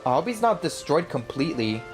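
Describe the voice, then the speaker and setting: A woman's voice: deep voice, sing-song, brisk, soft background music. A woman live streaming a video game, reading dialogue aloud and adding casual commentary.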